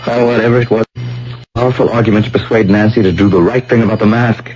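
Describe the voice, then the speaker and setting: A man's voice: lowish voice, steady tone, fast, darkish tone, lightly acted. An actor playing an earnest, hot-tempered young man, insistent and pleading as he searches, in an old-time radio drama. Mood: agitated. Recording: muffled, noisy vintage radio broadcast.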